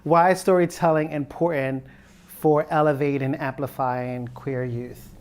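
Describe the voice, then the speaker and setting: A man's voice: fairly high pitch, steady tone, moderate pace. A man interviewing guests, asking upbeat, enthusiastic questions.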